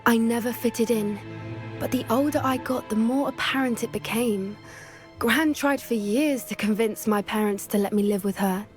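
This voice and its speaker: A woman voicing a kind, earnest teenage witch in a video game, with a British accent and a gentle, confiding manner. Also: a little high, moderate pace, faint music behind, lightly acted. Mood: confiding, wistful. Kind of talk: video game cutscene acting.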